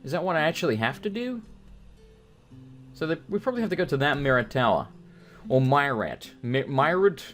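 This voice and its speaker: Animated, profane British man. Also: a little high, fairly quick, long pauses, faint music behind. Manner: profane, joking, animated storytelling. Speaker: gaming video host. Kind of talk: let's play commentary, rambling anecdotes.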